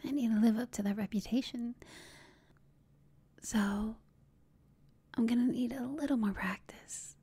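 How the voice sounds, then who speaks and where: Casting: actress as teasing female villain, ASMR roleplay. Very breathy whisper, soft mellow tone, coaxing and confident, close and dry. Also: on the high side, a little slow, long pauses. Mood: flirty, confiding. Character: flirtatious, teasing female villain, confident yet secretly inexperienced. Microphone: close mic, dry.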